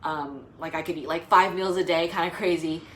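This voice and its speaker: A woman's voice: sits low, fairly even intonation, fairly quick, fairly bright tone, slight background noise. A young adult woman vlogging casually to the camera, rambling and hesitant with lots of fillers. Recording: roomy echo.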